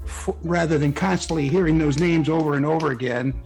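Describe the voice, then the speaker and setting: A man with a fairly high voice speaks as a guest author in a casual interview. He answers conversationally, with music in the background. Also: a little fast, gravelly, a little mellow, breathy edge, rough recording. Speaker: guest author, fan fiction writer.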